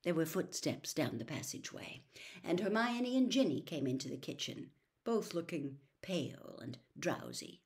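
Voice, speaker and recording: A woman reading a storybook aloud as narrator, in an expressive storytelling style. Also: low voice, moderate pace. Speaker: audiobook reader.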